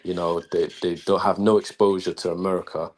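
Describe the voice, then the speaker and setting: A man's voice: sits low, flat delivery. A man speaking as a guest on an online talk stream, explaining his views in an assertive, lecturing way. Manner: assertive, lecturing, flowing with few pauses. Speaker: guest caller. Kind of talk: casual online talk-show chat.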